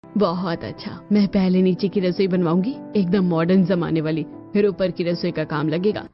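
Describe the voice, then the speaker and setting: A determined middle-aged mother from a cartoon family story, acted by a woman. Her voice sits low and she insists on her plans. The sound is dull, with music underneath. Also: fairly even intonation, moderate pace, lightly acted. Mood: brisk, decided. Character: determined middle-aged Indian mother planning separate kitchens. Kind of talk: Hindi cartoon story dialogue.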